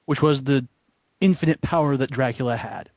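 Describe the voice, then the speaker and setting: A man's voice: mid-range voice, fairly quick, no echo. A man chatting casually about video games in a hesitant, rambling way. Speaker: member of a gaming video group.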